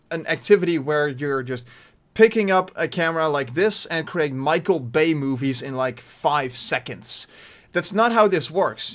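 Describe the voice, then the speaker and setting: A young Dutch man in his twenties with a Dutch accent, talking casually to his webcam from his bedroom, rambling with lots of fillers and restarts. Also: a little high. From Netherlands. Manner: animated hand gestures while talking. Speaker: YouTuber. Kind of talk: casual vlog talk to webcam. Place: small bedroom, indoors. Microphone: desk mic in front of webcam.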